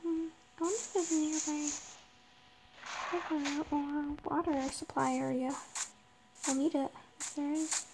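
Slow, raspy-voiced young-sounding woman. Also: sits high, little pitch movement. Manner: slow, hesitant, lots of ums, talks to herself while building. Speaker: Minecraft roleplay player. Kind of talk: casual gameplay narration. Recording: noisy background.

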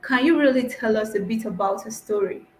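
A woman's voice: a little high, brisk. A young woman in her twenties with a Nigerian accent, hosting an online interview over a video call. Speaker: host. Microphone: device mic on a video call. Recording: echoey, noisy video call.

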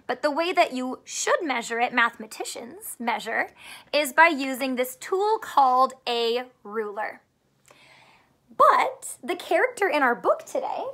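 A woman teacher with a fairly high voice reads to children. She takes her time over each phrase, explaining in simple terms and putting questions to the listener. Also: bright, forceful tone. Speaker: teacher reading aloud to children. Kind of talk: children's story read-aloud with simple teaching. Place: small furnished room at home, indoors.

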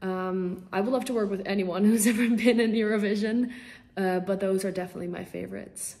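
A woman's voice: medium pitch, fairly even intonation, a little fast, dark tone. A young woman from the Netherlands, a singer-songwriter answering interview questions casually over a video call from a small room at home, bubbly and eager with frequent ums.

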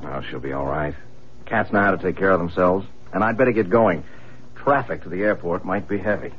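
A man's voice: low voice, brisk, lightly acted. An actor playing an easygoing, curious young husband and father in a radio drama, with a casual, musing manner. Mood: breezy. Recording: dull lo-fi radio broadcast, faint noise.

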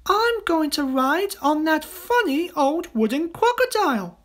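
A narrator voicing an excited small child in a storybook, eagerly announcing things. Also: high-pitched, a little slow, voice pushed up. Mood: eager excitement. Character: excited small children at a fair, one a girl.

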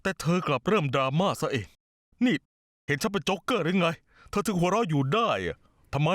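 A young man who is fed up and sarcastic. His voice sits a little high and sounds dry in the studio. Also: brisk, fairly bright tone, dry recording. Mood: annoyed. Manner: annoyed, sarcastic. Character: irritable young man back from work. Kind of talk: dubbed television drama acting.

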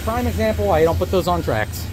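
A man's voice: expressive, fast talker. A man giving casual, off-the-cuff trainspotting commentary, muttering with mild exclamations. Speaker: amateur trainspotter filming trains. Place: outdoors beside railroad tracks. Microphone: handheld camera or phone, behind the camera. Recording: loud train rumble, outdoor noise. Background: loud passing freight train.

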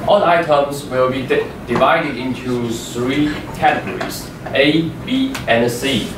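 A young man, a native Chinese speaker, giving a class project presentation, halting and repeating words as he explains slides. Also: mid-range voice. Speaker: student presenter. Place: classroom with a projector screen, indoors. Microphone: far room microphone. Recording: echoey room from far off, noisy.

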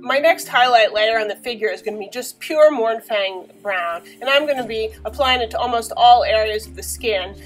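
Slightly hoarse young woman tutor. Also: fairly high pitch, moderate pace, hardly any pauses, faint music behind, low-quality recording. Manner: chatty, with many fillers. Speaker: miniature painting tutor. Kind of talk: casual tutorial narration. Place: small bedroom, indoors.

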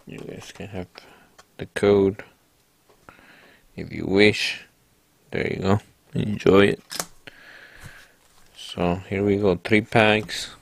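A man's voice: fairly low pitch, takes it slowly, vocal fry, long pauses. A man hosting his own channel, chatting casually to viewers as he opens card packs and reads out each card. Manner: relaxed, talks to viewers while reading out cards. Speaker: card-opening channel host. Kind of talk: casual pack-opening narration.

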